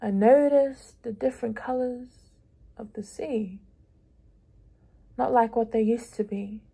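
A woman reading her own spoken-word piece aloud, measured and deliberate, pausing between phrases. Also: a little high, fairly even intonation, gravelly, warm tone, breathy edge. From Pacific island.

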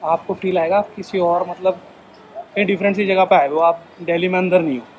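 A man's voice: sits high, fairly even intonation, brisk, slight background noise, poor audio. A man in his thirties, a vlogger chatting casually to his viewers on a phone camera while exploring a market and a cafe. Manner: chatty, addresses viewers as guys. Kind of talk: casual travel vlog. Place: car, then a busy street market and a cafe.

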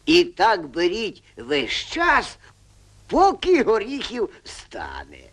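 A man voicing a wise, gruff old grandfather owl in a Soviet-era cartoon, giving expressive, drawn-out advice. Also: sits high, heavily acted. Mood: patient. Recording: old cartoon soundtrack with music.

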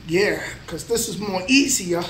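Man in his thirties, plain-spoken motivational vlogger, fairly high voice, a bit off mic. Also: animated, unhurried, fairly bright tone, recorded from a distance, slight background noise. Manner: motivational, plain-spoken, talks between sets. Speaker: vlogger, trucker. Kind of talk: casual workout vlog. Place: small indoor gym. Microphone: camera mic from a few feet away. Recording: echoey room, voice a bit off mic.

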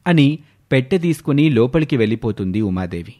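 A man delivering scripted narration as the narrator of an animated moral story. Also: mid-range voice, a little fast.

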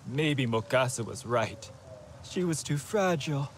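An actor voicing an anxious, earnest alien healer in a video game cutscene, with an emphatic, halting delivery. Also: male voice, medium pitch, expressive, a little hiss or hum, lightly acted. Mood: anxious, troubled. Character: anxious, excitable male Na'vi healer, worried and earnest.

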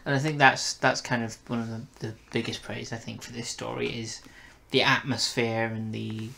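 A man's voice: mid-range voice, flat delivery, moderate pace, vocal fry, pushed and bright. A young man in a casual, conversational review chat, with a wry manner. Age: young adult. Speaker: podcast reviewer and co-host. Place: bedroom, indoors. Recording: noisy home room recording.

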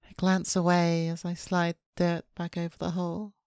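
Female streamer narrating a visual novel: deep for a woman, flat and subdued, dry close-mic sound. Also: normal speed, smooth, no room sound. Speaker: VTuber streamer. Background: faint game music.

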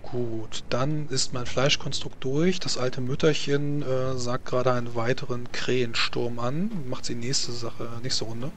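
A man's voice: mid-range voice, little pitch movement, a little slow, few pauses, fairly bright tone, slight background noise. A man running a tabletop role-playing game as game master, narrating casually and hesitantly as he thinks aloud. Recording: music behind the voice. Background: music.

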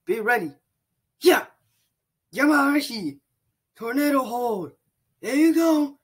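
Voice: sits high, expressive, slow, husky, pitched above the performer's own voice, heavily acted. Someone voicing a scornful, proud warrior robot. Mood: haughty. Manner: haughty, scornful. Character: arrogant male bird-warrior robot.

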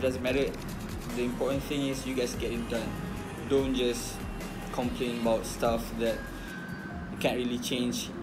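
A man's voice: mid-range voice, poor audio. A young man in a college dorm room, talking through his home workout in a casual, rambling way with many fillers and restarts. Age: young adult. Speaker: fitness vlogger.

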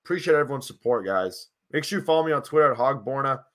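A man's voice: medium pitch, expressive, fast. A man in his twenties chatting informally to viewers as a sports livestream host, his voice wearing out. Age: 20s. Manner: informal, addresses viewers as guys. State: losing his voice. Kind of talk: casual fan livestream chat. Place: small home room, indoors. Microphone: close desk microphone.

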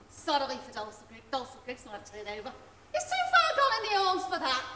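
An actress playing a worried, coaxing wife of a drunkard on stage. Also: female voice, high-pitched, huge pitch swings, moderate pace, bright, forceful tone, a little hiss or hum, exaggerated voice. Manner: coaxing, wheedling. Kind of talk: stage play acting. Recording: echoey hall, far from mic, faint ambience.

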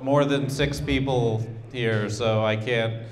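A man in his thirties doing absurdist stand-up into a handheld mic, rambling in an awkward, deadpan, halting way. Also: medium pitch. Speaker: stand-up comedian. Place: small comedy club stage, indoors. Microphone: handheld microphone.

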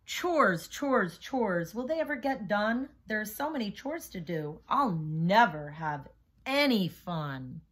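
A woman's voice: mid-range voice, big pitch swings, slow pace, breathy edge, heavily acted. A woman voicing an impatient young girl in a picture book, complaining dramatically about having to work. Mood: whiny, fed up. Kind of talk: picture-book character reading.

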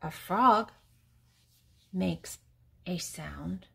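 An older woman reading a picture book aloud to young children in a deliberate, teacherly way, posing questions and answering them, with pauses between words. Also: fairly low pitch, vocal fry, pushed and bright, husky.

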